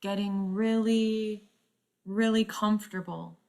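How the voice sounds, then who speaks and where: Woman, yoga nidra teacher. Extremely slow, measured guided-meditation delivery, medium pitch, long breaks within phrases. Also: steady tone, warm tone. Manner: measured, pausing often mid-phrase.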